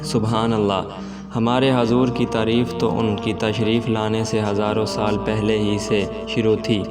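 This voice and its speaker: A man tells a scripted religious story in a lowish voice. His delivery is formal and measured, with very little rise or fall in pitch. Music plays clearly behind him. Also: level tone. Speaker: storyteller, narrator.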